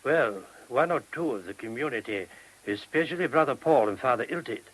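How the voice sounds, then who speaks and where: An actor playing a mild, obliging monk priest with a husky voice in a radio play. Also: male voice, mid-range voice, animated, fairly quick, fairly bright tone, poor audio. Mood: careful, explanatory. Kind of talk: British radio play acting. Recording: old radio drama recording.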